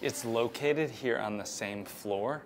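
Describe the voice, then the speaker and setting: A man's voice: mid-range voice, slow, noisy background. A man in his thirties giving a chatty, upbeat walking tour of a cruise ship, talking to a camera held at arm's length. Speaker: cruise vlogger. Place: cruise ship interior and decks. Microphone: handheld camera at arm's length.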